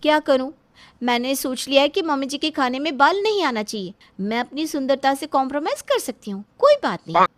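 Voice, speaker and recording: A woman playing a high-pitched young daughter-in-law. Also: big pitch swings, fairly quick, fairly bright tone. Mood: resigned. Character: put-upon, plaintive young daughter-in-law.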